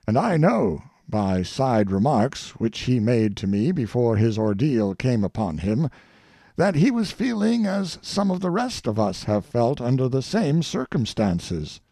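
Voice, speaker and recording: A man reads a formal after-dinner speech as an audiobook narrator. His pitch swings widely for emphasis, with measured pauses and a gravelly creak, recorded close and dry. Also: warm tone, no echo. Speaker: audiobook reader.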